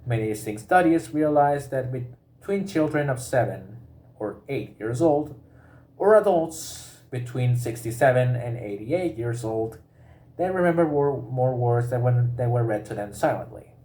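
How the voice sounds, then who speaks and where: A man with a medium-pitched voice reads a prepared educational text aloud. His delivery is halting, and he often stops partway into a word and says it again. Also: animated, warm tone.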